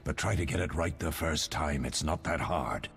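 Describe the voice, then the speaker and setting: An actor playing a wise, fatherly older mentor of monster hunters in a video game, measured and instructive. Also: very deep voice, a bit bright, faint music behind. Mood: reflective.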